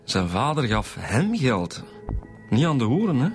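Flemish cop in a radio play, blunt, dry, doubting, middle pitch, music in background. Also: male voice, very animated, a little slow. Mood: sceptical. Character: blunt, sceptical male police inspector, teasing his colleague. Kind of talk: radio drama acting.

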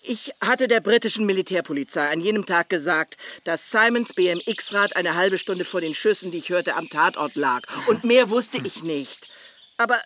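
Blunt, bright-voiced bitter woman. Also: lowish voice, animated, normal speed, a little hiss or hum. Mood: bitter, confessing. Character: blunt, bitter woman confessing long-kept secrets to police. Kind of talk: German crime radio play acting.

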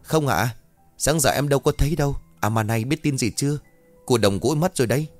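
A man voicing a brisk, gossipy village woman neighbor passing on news. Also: mid-range voice, faint music behind, pitched above the performer's own voice, lightly acted. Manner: chatty, brisk, tongue-clicking.

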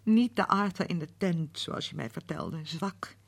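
A woman's voice: fairly low pitch, expressive, measured pace, creaky, exaggerated voice. An actress playing a grieving, hurt woman who speaks hesitantly in a radio drama. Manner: hesitant, pained. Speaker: Betty, widow of Arthur.

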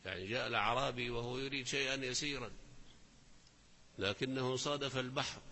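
Male teacher, mid-pitched, deliberate reciter of verse, faint hiss behind. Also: sharp tone, long reverb tail. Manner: slow, deliberate recitation of verses with rising emphasis. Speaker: teacher explaining poetry. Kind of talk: classroom lesson explaining verse.

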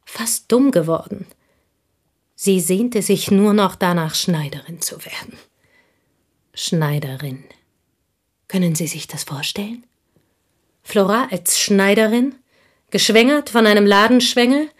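An actress playing a plain, bitter woman in a radio play, confiding old envy in an intense, intimate way that often slips into breathy whispers. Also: mid-range voice, close to an everyday voice. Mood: scornful, intense. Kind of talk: German radio play dialogue, intimate monologue.

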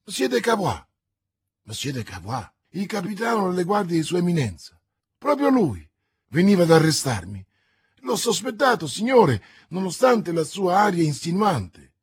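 A high, breathless young manservant voiced by a man, fretful and eager to please as he blurts out his news. His voice is husky and comes across dry and close. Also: very animated, unhurried, darkish tone, no echo, full character voice. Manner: breathless, exclamatory.

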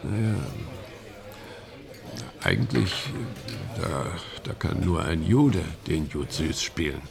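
Nervous male stage-and-screen player, radio drama: heavy fry, low register, halting and slyly satirical. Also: lively intonation, a crowd in the background, full character voice. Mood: confiding, uneasy. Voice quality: very gravelly, creaky. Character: nervous, slyly satirical male film actor in Nazi Germany. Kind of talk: German radio drama dialogue.

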